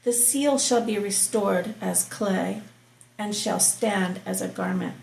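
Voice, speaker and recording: God, male deity, boastful, casual, woman putting him on, slow delivery, echoey room. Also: medium pitch, vocal fry, darkish tone.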